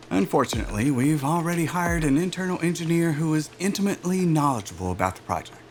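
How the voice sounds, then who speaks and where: A man playing a self-assured, smooth-talking aerospace executive in a naturalistic audio drama, with a polished, salesman-like manner. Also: on the high side, expressive, fairly quick, soft background music. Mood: upbeat persuading.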